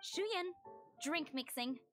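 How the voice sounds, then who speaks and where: A woman voicing a brisk, bossy young female character in a video game. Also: high-pitched, expressive, over music.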